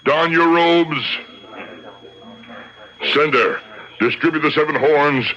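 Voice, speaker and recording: An actor playing a solemn, commanding rabbi in a radio drama, declaiming in a measured, theatrical way. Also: male voice, fairly high pitch, sharp tone. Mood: commanding, forceful. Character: solemn, commanding rabbi judge conducting a tribunal and exorcism. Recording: dull lo-fi old radio recording.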